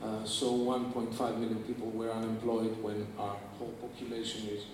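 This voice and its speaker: A man's voice: on the low side, monotone, normal speed. A man from Greece, giving a panel talk with many fillers and restarts. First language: Greek. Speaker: panel speaker, government representative. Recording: echoey hall recording from far off, faint hiss.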